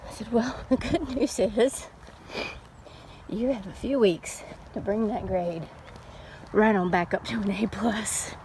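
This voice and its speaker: A middle-aged woman chatting casually in an unhurried, folksy way, like a mom telling stories while out on a walk. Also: mid-range voice. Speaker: storytelling vlogger and mother. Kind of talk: casual rambling chat. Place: outdoors, walking. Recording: steady background noise, outdoors on a walk.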